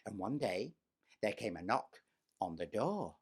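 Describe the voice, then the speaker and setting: A man's voice: on the low side, sing-song, measured pace, husky, heavily acted. A man voicing a vain, bossy, spiteful stepsister in a chatty, self-satisfied comic pantomime monologue. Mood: smug, gossipy.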